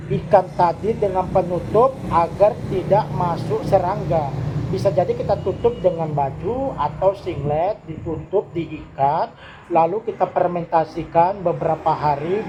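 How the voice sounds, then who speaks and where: A middle-aged man with a fairly high voice explains things step by step as an interviewee. He speaks slowly and haltingly. Vehicle noise runs clearly behind him. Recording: noisy recording with vehicle sounds behind.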